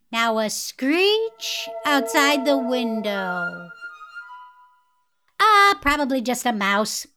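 An actress playing a flighty, giggly fairy aunt in a fairytale audio drama, dithering and muttering to herself. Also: female voice, fairly high pitch, sharp tone, smooth, heavily acted. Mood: distracted, curious.

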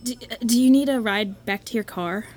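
A woman's voice: a little high, fairly quick, a little mellow, close to an everyday voice. A woman acting as a kind, slightly awkward, practical hospital staffer in an audio drama, reassuring and prone to stammering. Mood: shy, friendly.

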